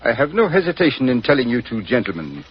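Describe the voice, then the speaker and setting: An actor playing a grave English knight in government service in a 1940s radio drama, formal and measured. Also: male voice, medium pitch, sing-song, husky. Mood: confidential. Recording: muffled 1940s radio recording.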